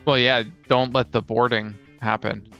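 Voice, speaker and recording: A man's voice: medium pitch, flat delivery, normal speed, vocal fry, a bit bright, dull audio, faint music behind. A man co-hosting a casual chat about tabletop role-playing, talking as a player in an informal way. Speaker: podcast co-host and tabletop player.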